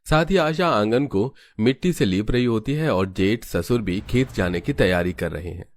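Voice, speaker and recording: A man's voice: fairly low pitch, moderate pace. A man narrating a scripted family cartoon story.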